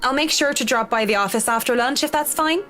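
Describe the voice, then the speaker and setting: A woman playing a composed professional in a visual novel, firm, formal and measured in a businesslike way. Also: high-pitched, fairly bright tone, soft background music. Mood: composed, reserved.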